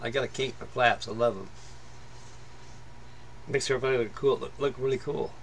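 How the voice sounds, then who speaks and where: A man's voice: medium pitch, steady tone, brisk, a little hiss or hum, rough recording. Plain-spoken man at his hobby bench. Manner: plain-spoken, rambling, many fillers and restarts. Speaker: scale model builder. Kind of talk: casual build commentary. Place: workbench in a home hobby room.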